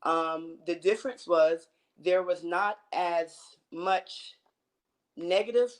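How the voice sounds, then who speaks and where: A woman's voice: lowish voice, takes it slowly, a little hiss or hum. An American woman in her late thirties speaking casually and with passion as an interview guest and activist. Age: late 30s. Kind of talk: casual, impassioned conversation.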